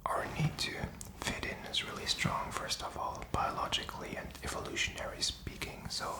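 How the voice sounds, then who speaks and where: A man's voice: moderate pace, reverberant. A man whispering in a rambling, reflective and informal ASMR style, feeling his way through his thoughts. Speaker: ASMR content creator.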